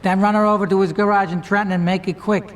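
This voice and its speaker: An actor playing a sleazy, pushy mob boss giving orders. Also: male voice, high voice, flat delivery, lightly acted. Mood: bossy. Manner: brash, demanding, fast-talking.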